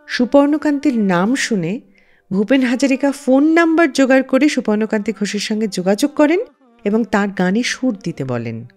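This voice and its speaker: A woman presenting a music radio show, telling anecdotes in an expressive storytelling way. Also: fairly high pitch.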